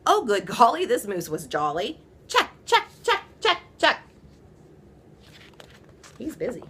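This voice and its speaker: A woman reading a children's story aloud, with playful, theatrical emphasis and drawn-out words. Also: on the high side, huge pitch swings, pushed and bright, slight background noise. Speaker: storybook reader. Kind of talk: animated children's read-aloud.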